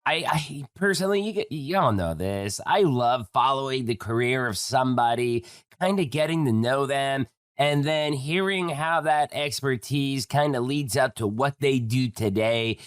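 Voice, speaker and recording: A man's voice: mid-range voice, normal speed, dry recording, studio quality. A man hosting a podcast interview, chatty and enthusiastic with a joking manner. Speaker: podcast host. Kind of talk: casual interview.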